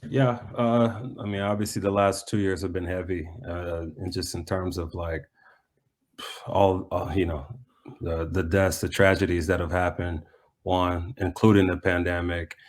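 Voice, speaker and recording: A man with a low voice and fairly flat intonation talks casually as an interview guest. He is a coach and former athlete, thoughtful and halting, circling back over his phrases. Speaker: soccer coach and former pro player being interviewed. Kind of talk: casual reflective interview answers.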